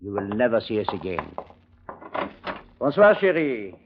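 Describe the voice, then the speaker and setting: Menacing French gangster, tinny old radio. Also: male voice, fairly low pitch, big pitch swings, measured pace, a little hiss or hum. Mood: courteous, resigned. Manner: theatrical, formal, threatening. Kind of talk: 1940s radio detective drama acting. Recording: tinny, band-limited old radio recording.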